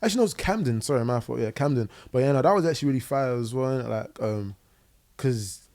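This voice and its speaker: A quick, chatty young man with a London accent talks freely on a podcast, his voice gravelly with a mellow, warm tone. He speaks into a boom mic in a living-room set, and his talk is full of fillers and "innit". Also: lively intonation. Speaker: guest musician. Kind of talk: casual podcast interview. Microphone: boom mic on arm.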